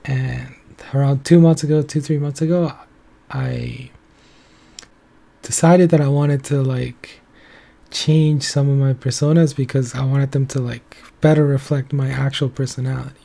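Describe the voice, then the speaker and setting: A young man talks alone in a casual, meandering way and keeps leaning on filler words. His voice is very dark and mellow and his pitch stays flat, sitting in an ordinary middle register. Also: unhurried, creaky. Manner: rambling, loose, heavy on filler words. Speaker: commentary channel host. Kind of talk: casual solo commentary.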